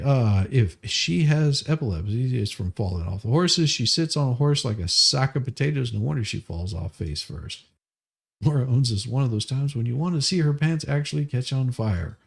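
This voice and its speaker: A man's voice: medium pitch, creaky, husky. A man reading documents aloud and adding blunt, sarcastic, exasperated commentary, often profane. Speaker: livestream host doing dramatic readings of legal filings.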